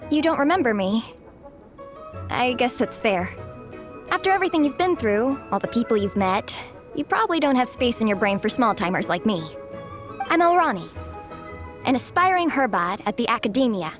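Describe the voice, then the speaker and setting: A woman's voice: fairly high pitch, very animated, normal speed, long pauses, over music. A woman voicing a humble, friendly young female student scholar in a video game, eager and self-deprecating. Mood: pleasantly surprised.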